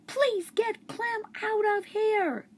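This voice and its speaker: A kind young schoolgirl from a children's storybook, voiced by a woman reading aloud pitches her voice far up and calls out urgently. She sounds a little away from the mic, bright and childlike. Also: slow pace, slight background noise, full character voice. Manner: high, urgent calls to the family.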